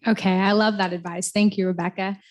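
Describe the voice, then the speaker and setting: A woman's voice: medium pitch, little pitch movement, fairly quick, no room sound. A woman hosting a live conference event as moderator, introducing things to an audience. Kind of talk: hosting a panel and raffle.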